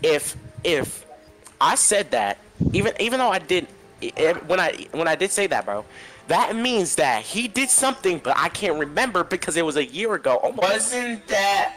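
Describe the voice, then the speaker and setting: A man's voice: high voice, sing-song, moderate pace, fairly bright tone, faint music behind. Defensive slangy man in voice chat. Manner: defensive, slangy, repeats bro. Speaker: player being called out. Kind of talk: heated voice chat argument.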